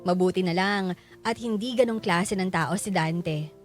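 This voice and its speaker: A woman's voice: lowish voice, a little slow, soft background music, close to an everyday voice. An actress playing a remorseful wife who narrates her own story in a Filipino radio drama, reflective and confessional.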